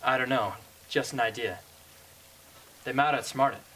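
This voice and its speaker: Bright-voiced, stubborn young rebel hunter, played by a man. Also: medium pitch, normal speed, close to an everyday voice, low-quality recording. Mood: thoughtful. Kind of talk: amateur radio drama acting. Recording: faint background noise.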